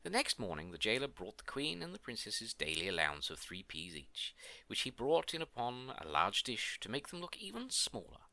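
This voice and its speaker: A man's voice: lowish voice, huge pitch swings, normal speed, sharp tone, dry recording. A man narrating a scripted fairy tale as a storyteller, with a theatrical storybook delivery.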